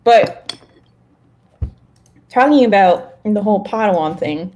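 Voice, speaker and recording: A woman's voice: medium pitch, lively intonation, slow pace, darkish tone. A woman co-hosting a podcast, chatting in a casual, conversational way. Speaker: podcast co-host.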